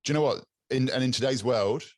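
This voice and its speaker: A British man with a low, raspy but clear voice, chatting as a podcast guest over a video call and often repeating words as he searches for them. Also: fast, darkish tone, no room sound, studio quality. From England. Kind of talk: casual interview chat.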